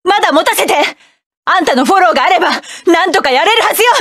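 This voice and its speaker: An actress voicing a proud, combative young woman mecha pilot, delivering sharp, commanding battle lines for a game. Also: very high voice, lively intonation, fast talker, no echo, clean studio sound. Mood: fierce, defiant.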